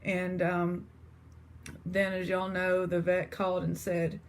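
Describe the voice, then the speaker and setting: A woman's voice: lowish voice, monotone, takes it slowly, a little hiss or hum. A woman with an East Texas accent chatting casually to her viewers as an online seller. She rambles, with lots of fillers and restarts. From East Texas, USA.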